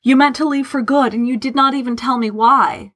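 A woman's voice: a little high, a little fast, voice pushed up, close to an everyday voice. A woman voicing an earnest, hurt man who pleads passionately in formal, old-fashioned phrasing, as in an audio story. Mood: hurt, accusing.

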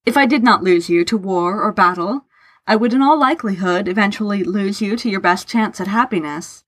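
A woman's voice: medium pitch, fairly quick, fairly bright tone, natural voice. A woman voicing a guarded, bitter man who speaks in a curt, matter-of-fact, formal and sardonic way, as in an audio story. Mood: restrained anger. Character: Tharke, guarded, bitter, self-deprecating man hiding love.

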